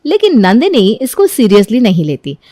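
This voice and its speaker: A woman narrating a children's cartoon story in a clear, even storytelling manner. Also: fairly high pitch, normal speed, no room sound, studio quality.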